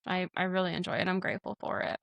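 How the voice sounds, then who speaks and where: A woman's voice: fairly low pitch, little pitch movement, very fast, gravelly, smooth, dry recording, muffled recording. A young woman, a flight nurse, talking candidly as a podcast guest, laughing often and using many fillers. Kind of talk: casual interview talk.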